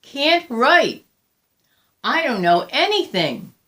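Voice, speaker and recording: A woman's voice: high-pitched, big pitch swings, slow, a bit bright, voice pushed up, full character voice. A woman voicing a very old, weary but determined woman from a picture book, in short plain phrases. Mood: weary, sad.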